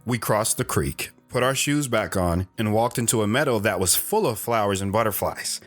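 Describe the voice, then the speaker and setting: A man playing a shy, hesitant wanderer who narrates his own story in an audio drama, reflective in tone, with soft guitar underneath. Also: lowish voice, animated, normal speed. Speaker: narrator and guest.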